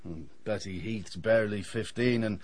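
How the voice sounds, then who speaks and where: An actor playing a flippant, sardonic dentist with a roguish streak in a radio drama. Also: male voice, fairly low pitch, normal speed, a little mellow, dry recording, natural voice. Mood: wry, teasing. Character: wry, roguish, hard-drinking male dentist.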